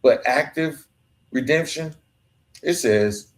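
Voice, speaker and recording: A man's voice: fairly high pitch, slow. A man hosting a podcast, giving an earnest, emphatic solo talk in a colloquial way, sometimes stumbling over his words. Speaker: podcast host. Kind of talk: solo motivational monologue.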